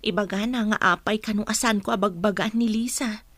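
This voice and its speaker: A woman's voice: medium pitch, steady tone, fairly quick, a bit bright, no room sound, close to an everyday voice. A woman playing a worried, scolding mother in an Ilocano radio comedy-drama. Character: worried, scolding mother of school-age daughters.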